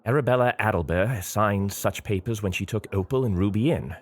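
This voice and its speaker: An actor playing a formal, measured, officious British government official in an audio drama. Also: male voice, on the low side, no echo, natural voice. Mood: formal, regretful.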